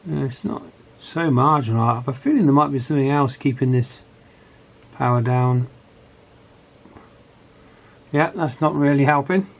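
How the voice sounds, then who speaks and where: A man giving a casual hands-on repair commentary, thinking aloud with pauses mid-phrase. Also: medium pitch, gravelly. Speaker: electronics repair vlogger.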